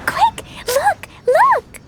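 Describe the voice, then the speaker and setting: A woman's voice: very high-pitched, big pitch swings, very slow, exaggerated voice. A woman voicing a cheerful, impulsive little girl in a video game, eager and childlike. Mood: excited. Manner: childlike, eager, speaks of herself by name. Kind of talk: animated video game voice acting.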